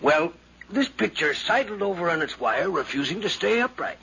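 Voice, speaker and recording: An actor playing a garrulous, morbid older gentleman who rambles and hems, in a dull, noisy 1950s radio drama recording. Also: sits high, sing-song, normal speed, creaky, full character voice. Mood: earnest, rambling. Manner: rambling, blustery, hemming.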